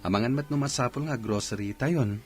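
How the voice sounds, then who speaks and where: An actor playing an earnest, careful grown son in an Ilocano radio drama, with an Ilocano accent. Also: male voice, medium pitch, brisk, darkish tone, faint music behind, close to an everyday voice. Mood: concerned.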